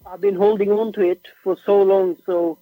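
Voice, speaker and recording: A young man from Norway whose first language is Norwegian, chatting casually as a musician guest on a remote call, relaxed and slangy. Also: high voice, normal speed, no echo. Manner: relaxed, slangy, says "bro" and "like" often. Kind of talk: casual interview chat. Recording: tinny phone-like remote line.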